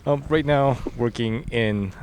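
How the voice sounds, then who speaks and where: A young man born in China, a guest answering interview questions outdoors in a hesitant, halting way. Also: mid-range voice, a little mellow, slight background noise. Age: young adult. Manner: hesitant, halting, many fillers. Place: outdoors in a street line.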